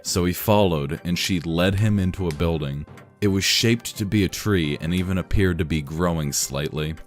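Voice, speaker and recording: A man reading a fan story aloud in a dry, deadpan narrating manner. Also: low voice, moderate pace, faint music behind. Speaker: reader.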